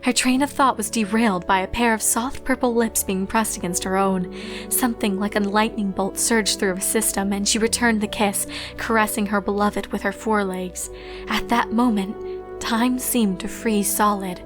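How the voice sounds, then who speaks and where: A woman reading a story aloud in an expressive, scripted narration style. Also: mid-range voice, normal speed, music in the background. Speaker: fan fiction narrator.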